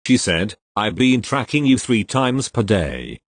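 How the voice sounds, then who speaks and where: A man reading online posts aloud as the regular reader of the channel, in an expressive storytelling way. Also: lowish voice, unhurried, no room sound.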